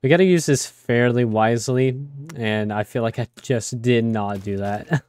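A young man with a raspy voice from a cold, rambling casually with many fillers as he plays a game. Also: mid-range voice, normal speed, a little mellow, no room sound. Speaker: let's play host. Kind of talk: casual gameplay commentary.

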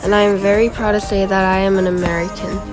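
A fifteen-year-old girl from Guam reading her autobiography aloud as a school presentation, in an earnest, steady voice. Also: mid-range voice, fast talker, low-quality recording. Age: teenager, 15. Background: background music.

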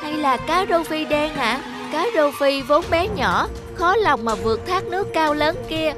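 A woman voicing a small, young talking fish in an animated fairy tale, expressive and earnest. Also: high-pitched, unhurried, music in the background. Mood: accusing.

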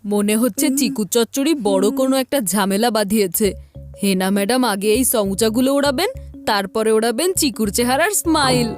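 A woman's voice: a little high, fairly quick, faint music behind, close to an everyday voice. A woman voicing a mischievous, gloating little brother in a children's cartoon, sly and theatrical. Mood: scheming. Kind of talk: dubbed children's cartoon acting.

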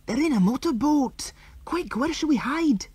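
A resolute British boy takes charge in a storybook adventure, played by a man reader. He is protective and earnest, pitched up a little, in a dry recording. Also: animated, moderate pace, a little mellow, no echo. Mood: urgent.

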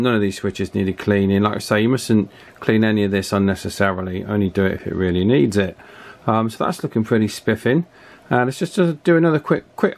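Low-voiced British man chatting through a demonstration. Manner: chatty, informal. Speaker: radio repairer presenting a video. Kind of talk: casual hands-on demonstration. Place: indoor electronics workbench.

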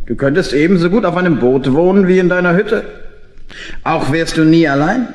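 An actor playing a hearty, persuasive water-mouse boat builder in a children's audio play, jovial and eager. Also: male voice, a little high, fairly quick, darkish tone, natural voice. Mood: coaxing, eager.

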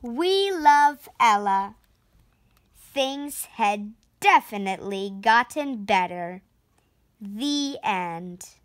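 A preteen girl reading a children's picture book aloud with expressive, deliberate storytelling. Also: mid-range voice, no room sound, clean studio sound. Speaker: storybook reader and channel host. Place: indoor room at home, on a sofa.

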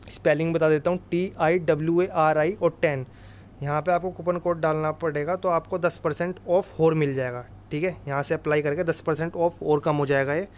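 A man giving an informal, emphatic explanation as an exam-prep presenter, often repeating tag phrases. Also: a little high. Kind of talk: informal explainer over screen recording.

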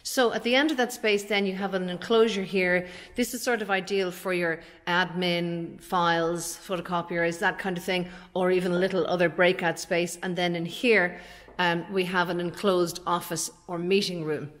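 A woman's voice: lowish voice, fairly even intonation, normal speed, a bit bright. An Irish woman in her forties, an estate agent chattily explaining a property as she walks through it. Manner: chatty, explanatory, talking while walking. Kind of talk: informal property walkthrough narration. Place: inside empty commercial unit, large bare rooms.

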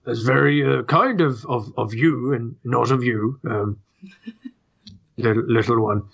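A man's voice: medium pitch, slow pace, creaky, a bit bright, husky, lo-fi sound, voice pushed down, heavily acted. A man voicing a grumpy, flustered old toad who leads a small town, hesitant and stammering, with a strained throaty voice.

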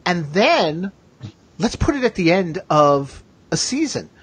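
A man hosts a casual podcast chat. His voice is husky and swings widely in pitch. He talks in a chatty way, thinking aloud, in a dry, close sound. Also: big pitch swings, dry recording. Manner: chatty, quick to laugh, thinks out loud. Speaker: podcast host.

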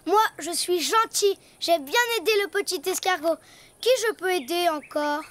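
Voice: very high-pitched, moderate pace, fairly bright tone, no room sound. A performer voicing a cheerful, proud little boy donkey in a preschool cartoon, with a childish, earnest singsong manner. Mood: proud, content.

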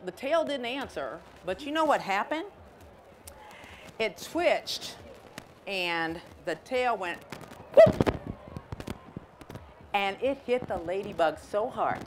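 A middle-aged American woman reading a picture book to children, conversational, explaining and checking with her listeners. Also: medium pitch. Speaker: storyteller. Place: outdoors in a garden. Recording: clear outdoor ambient noise.

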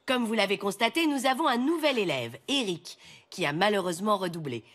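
A woman's voice: mid-range voice, animated, fairly quick, a bit bright. A woman playing a kind primary school teacher in a children's cartoon, polite and projecting to a class. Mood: pleasant. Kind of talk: animated children's cartoon acting.

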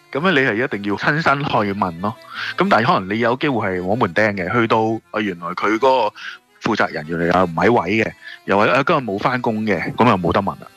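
A man with a Hong Kong Cantonese accent explains things casually as an interview guest. His voice is fairly low yet bright and forward, with music faintly underneath. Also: expressive, quick pace, breathy edge. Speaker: radio interview guest, shop owner. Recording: music playing behind the voice. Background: background music.

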